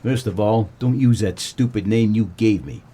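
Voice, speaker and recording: An actor playing a wry, world-weary 1940s private eye, narrating a comedic noir radio play. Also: male voice, lowish voice, moderate pace, husky. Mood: indignant. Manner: wry, deadpan, colloquial.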